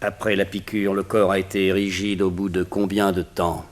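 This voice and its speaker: Low-voiced, imperious villain played by a man. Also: little pitch movement, moderate pace, natural voice. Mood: coldly probing. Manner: imperious, interrogating, commanding. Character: cold domineering male villain, a hypnotist master giving orders. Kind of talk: 1960s French radio drama acting.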